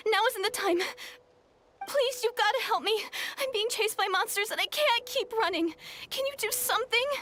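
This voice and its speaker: Young girl for a game: earnest, innocent, extremely high pitched. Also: normal speed, bright, forceful tone. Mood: pleading. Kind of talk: video game voice acting. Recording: music playing behind the voice.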